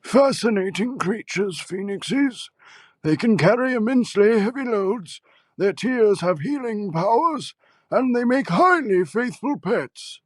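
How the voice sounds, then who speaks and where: A man playing an old wizard headmaster, high-pitched and kindly. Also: expressive, dry recording. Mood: gently explaining. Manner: unhurried, scholarly, gently probing. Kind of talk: audio drama acting.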